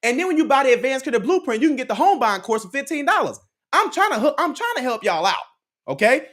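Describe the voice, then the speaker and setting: A man's voice: very high-pitched, big pitch swings, quick pace, fairly bright tone. A man hosting a live credit advice stream, preaching to his viewers in a repetitive, motivational way and reacting to the chat with laughs. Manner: preachy, repeats key lines, laughs often, talks to the chat. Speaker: credit advice livestream host.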